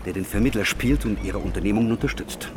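An actor playing a bold, cunning schemer in a historical German radio drama, talking with theatrical, persuasive confidence. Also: male voice, on the low side, quick pace, music in the background, close to an everyday voice.

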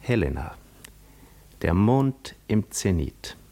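Cunning Greek war veteran, low voice, measured, reflective, self-assured, articulate, 1950s radio play monologue. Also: male voice, very animated, dark tone, exaggerated voice. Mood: musing, wry. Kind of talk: 1950s radio drama monologue, literary first-person narration.

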